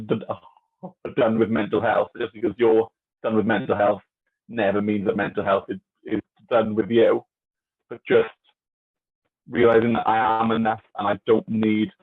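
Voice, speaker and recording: Husky-voiced man who stutters, on a tinny line. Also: on the low side. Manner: earnest, halting, open. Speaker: interview guest. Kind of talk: reflective casual talk.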